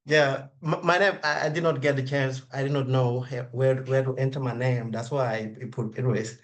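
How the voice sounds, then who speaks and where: A man whose first language is French, speaking English on a video call with a French accent and halting, with many restarts and repeated words as he reflects aloud. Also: medium pitch, steady tone. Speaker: webinar participant. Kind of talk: reflective spoken comment.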